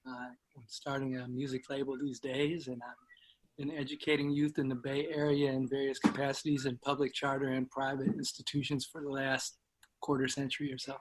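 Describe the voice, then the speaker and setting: A man's voice: medium pitch, completely flat delivery, a little fast, poor audio. A middle-aged man talking casually as a co-host and introducing himself. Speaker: co-host, educator and musician.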